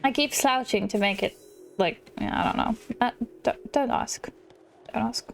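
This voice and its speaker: A woman's voice: fairly high pitch, a little slow, creaky, slight background noise. A woman hosting a gaming video with chatty, giggly, self-mocking commentary. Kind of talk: casual let's play commentary, reading game dialogue aloud.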